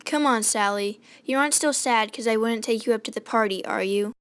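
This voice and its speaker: A woman's voice: fairly high pitch, a little fast, clear, resonant tone, dry recording, studio quality, natural voice. A woman playing a kind, eager young bellhop lad in a radio drama, friendly and a little hesitant.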